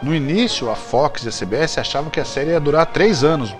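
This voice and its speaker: A man's voice: fairly high pitch, lively intonation, fairly quick, over music. A Brazilian man hosting a casual, colloquial chat show, repeating himself for emphasis. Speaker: host. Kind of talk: casual informal chat about old TV series.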